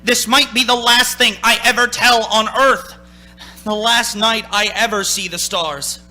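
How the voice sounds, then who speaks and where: Guy acting a haunted 30s man in an old radio thriller, high tense voice, dramatic telling. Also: fairly even intonation, unhurried, sharp tone, faint music behind, heavily acted, poor audio. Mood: desperate, urgent. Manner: urgent, dramatic first-person narrating. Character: anxious, haunted man of thirty-six recounting his road trip.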